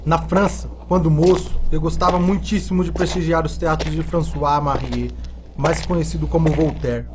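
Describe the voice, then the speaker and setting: A man's voice: fairly high pitch, steady tone, normal speed, background noise, natural voice. An amateur male student actor playing a stern, brave military sergeant in a radio drama. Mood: reminiscing. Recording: loud music behind.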